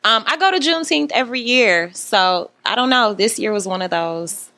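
A woman's voice: fairly high pitch, expressive, normal speed, sharp tone, no room sound. A young woman from Barbados, a film student, chatting easily as a podcast guest. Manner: laughing, easygoing. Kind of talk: casual chat.

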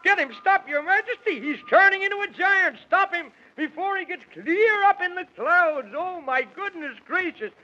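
A man's voice: extremely high pitch, expressive, moderate pace, hardly any pauses, sharp tone, full character voice. An actor playing the kindly, fretful old Man in the Moon with folksy exclamations in a 1930s children's radio serial. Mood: flustered. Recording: dull vintage 1930s radio recording.

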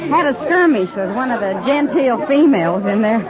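Woman acting a high-voiced, guarded frontier woman. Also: expressive, normal speed, close to an everyday voice. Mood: wry, hurt. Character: guarded, wry frontier woman out at a town dance. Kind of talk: 1950s radio western drama acting. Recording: dull old radio recording, crowd behind.

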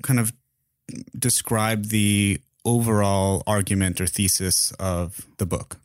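A man hosting a podcast interview, speaking conversationally with halting, hedged phrasing. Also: on the low side, little pitch movement, no echo, clean studio sound.

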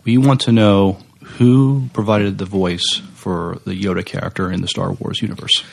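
Gravelly, husky low-voiced man on a podcast. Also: little pitch movement, moderate pace. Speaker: podcast co-host. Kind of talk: casual podcast chat.

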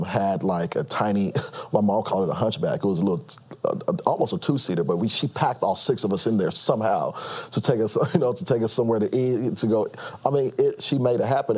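Low husky-voiced man from Nigeria. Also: a little fast. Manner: laughs often, earnest, many restarts. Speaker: guest. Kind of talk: casual interview storytelling.

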